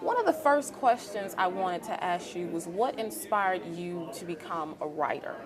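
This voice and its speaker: A woman's voice: fairly low pitch, animated, moderate pace. Encouraging woman TV host. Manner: encouraging, repeats questions, frequent "really?" and "wow". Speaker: TV interview host. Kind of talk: TV interview. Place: book fair.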